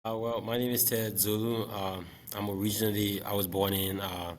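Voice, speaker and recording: A man in his late twenties from Liberia, speaking as an interview guest. He talks about teaching in a relaxed, reflective way, with many fillers. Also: on the low side, moderate pace, slight background noise. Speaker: guest, special education math teacher. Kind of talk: casual interview talk.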